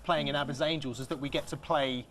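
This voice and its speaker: A man's voice: a little high, fairly even intonation, fast, a bit bright. A young man as a TV interview guest, hesitant and repeating words as he talks. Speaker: tribute band member. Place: TV news studio.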